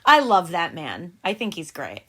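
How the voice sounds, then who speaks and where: A woman's voice: on the low side, huge pitch swings, very noisy. A woman in her forties co-hosting a casual podcast with her husband, bubbly and playful. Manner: bubbly, playful, quick to laugh. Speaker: podcast co-host. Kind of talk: casual chat.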